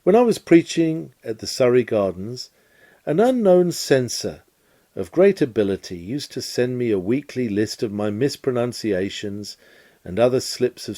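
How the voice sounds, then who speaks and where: A man with a soft, mellow voice reads an old-fashioned sermon lecture from a script. His pitch moves widely as he stresses key words, and his phrasing is measured, formal and oratorical. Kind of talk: scripted reading of a 19th-century sermon lecture.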